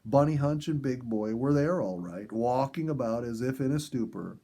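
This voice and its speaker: A man reading a story aloud as a narrator, with animated delivery and dramatic pauses and emphasis. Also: medium pitch. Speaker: audiobook reader and story host.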